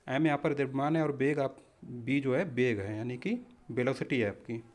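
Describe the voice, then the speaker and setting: A man's voice: medium pitch, normal speed, a little mellow. A man teaching exam practice questions, explaining step by step in an instructional way and often addressing listeners as friends. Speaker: exam-prep physics teacher.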